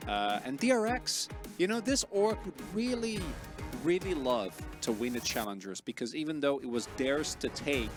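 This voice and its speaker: Young guy breaking down esports matches, rambly, fixes himself mid-sentence, music loud behind. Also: medium pitch, sing-song, normal speed. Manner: rambling, self-correcting analytical talk with frequent fillers. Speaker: esports caster. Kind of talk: casual esports analysis.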